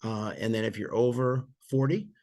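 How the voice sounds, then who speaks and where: A man with a gravelly, mid-pitched voice talks through plan details in an easygoing, conversational way. He sounds a little hoarse, as if he is losing his voice. He often pauses on fillers and starts phrases over. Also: dark tone. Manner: frequent fillers and restarts, conversational. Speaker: presenter explaining insurance plans. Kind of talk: casual explanatory walkthrough.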